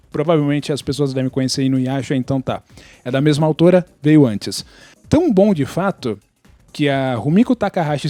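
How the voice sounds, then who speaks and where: Mellow Brazilian man in casual podcast talk. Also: medium pitch, no echo. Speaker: podcast regular. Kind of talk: casual chat.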